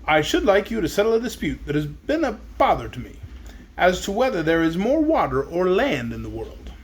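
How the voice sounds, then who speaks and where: A man's voice: high voice, huge pitch swings, fairly quick. A man voicing a proud, touchy male zebra in a storybook reading, with an indignant, haughty manner.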